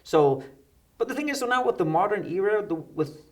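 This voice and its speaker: Fast-talking, higher-pitched middle-aged man. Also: lively intonation. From United Kingdom. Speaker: interview guest, electronic musician. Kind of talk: conversational interview, anecdotes. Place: dark indoor studio set, seated.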